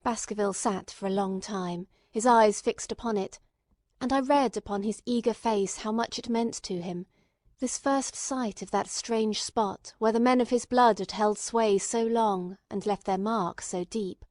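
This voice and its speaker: A woman's voice: medium pitch, a little slow, a little mellow, no room sound, studio quality. A woman reading scripted storybook narration as an audiobook narrator.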